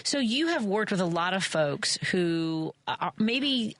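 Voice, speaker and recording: A woman's voice: mid-range voice, animated, takes it slowly, a bit bright, dry recording. A woman hosting a radio talk show from a studio, chatting in an upbeat way with plenty of fillers and restarts. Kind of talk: casual radio interview.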